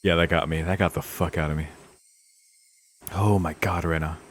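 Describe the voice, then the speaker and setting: A man's voice: deep voice, steady tone, normal speed. A man streaming a visual novel, reading the narration aloud with dramatic, casual, sweary reactions. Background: game music.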